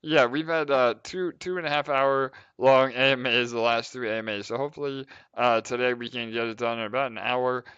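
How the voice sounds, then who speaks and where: A man's voice: mid-range voice, fairly even intonation, fairly quick, hardly any pauses, a bit bright, smooth, no echo. A man hosting a casual livestream Q&A, talking with many filler sounds. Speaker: team lead and host. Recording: slightly dull, dry audio.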